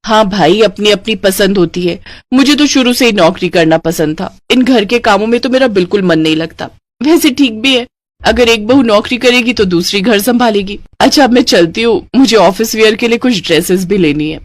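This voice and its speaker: A woman voicing a haughty, boastful young daughter-in-law in a cartoon story, sharp and condescending. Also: medium pitch, moderate pace, no room sound, close to an everyday voice. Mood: smug.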